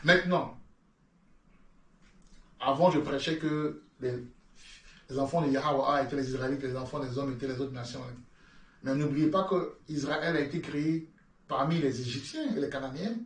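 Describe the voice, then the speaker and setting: A man's voice: medium pitch, normal speed, pauses often, a bit bright, roomy sound, far from the mic. A man born in France with his own London-tinged French accent, preaching a sermon and reading scripture, repeating words and stumbling into rising emphatic bursts. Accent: French with a London-influenced lilt.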